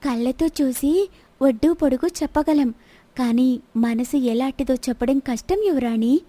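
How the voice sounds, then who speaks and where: A woman playing a gentle, sensible young lady of a royal palace in a dramatized story, speaking politely and earnestly. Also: high voice, unhurried, no echo. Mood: thoughtful. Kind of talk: dramatized moral story acting.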